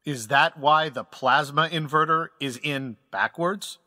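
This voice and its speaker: An actor playing a wise, secretive old space admiral in an audio drama, speaking as a measured, formal, earnest mentor. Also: male voice, a little high, bright, forceful tone, no room sound, studio quality. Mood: wry challenge.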